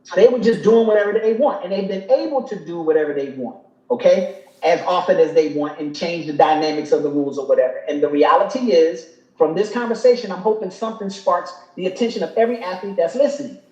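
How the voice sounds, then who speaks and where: A man's voice: sits high, fast, darkish tone. Emphatic fifties man, roomy lo-fi sound. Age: 50s. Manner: preachy, emphatic, tags points with "okay?". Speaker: guest. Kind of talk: live online talk show discussion.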